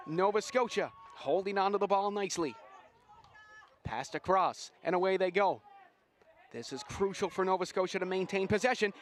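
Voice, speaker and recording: A man doing live, excitable play-by-play commentary on a rugby sevens match. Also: sits high, a little hiss or hum. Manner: excitable, builds to shouts at big plays.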